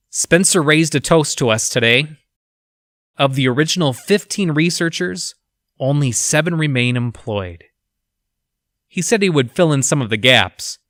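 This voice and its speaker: An actor playing an educated, haunted research scientist, reading his journal for an audio drama in a formal, measured, clinical manner. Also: medium pitch, a bit bright, no echo, studio quality. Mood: dutiful.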